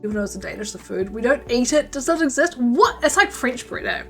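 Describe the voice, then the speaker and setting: A woman's voice: on the high side, expressive, quick pace, creaky, fairly bright tone, soft background music. Chatty woman from New Zealand. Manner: chatty, rambling, reads out and answers chat; muttering stitch counts under her breath. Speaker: crochet livestream host. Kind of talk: casual chat while crocheting.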